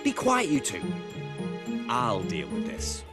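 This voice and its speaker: Wildly swooping pompous storyteller. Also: male voice, mid-range voice, wildly swooping pitch, slow. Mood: irritated. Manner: theatrical, grandiose. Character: pompous storyteller game master narrating a fantasy quest. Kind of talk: video game cutscene acting. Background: music.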